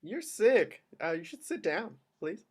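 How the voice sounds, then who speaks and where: A woman acting an earnest, gently persistent male suitor in an amateur romantic comedy read-through, tentative and polite. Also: mid-range voice, big pitch swings, a little slow, husky. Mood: gently solicitous.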